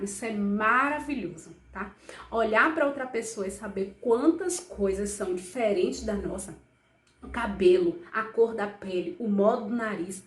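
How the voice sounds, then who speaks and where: A woman with a Brazilian accent talks a class of children through a lesson, her voice sitting a touch high. She coaxes them along with questions she answers herself. The room around her is echoey. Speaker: teacher.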